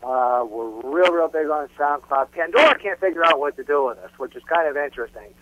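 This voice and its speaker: A man's voice: a little high, expressive, fairly quick. A man speaking as a musician guest in a casual radio interview, emphatic and often repeating his phrases for weight. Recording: dull, noisy phone-like radio line.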